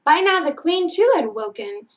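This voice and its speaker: Woman, storytime reader, fairly high voice, storybook narration for children. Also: very animated, normal speed, a little hiss or hum.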